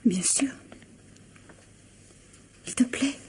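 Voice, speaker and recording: An actress in a French radio mystery drama playing a meek, lovesick runaway wife, emotional and pleading. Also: female voice, very slow, darkish tone, whispered. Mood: meek, devoted.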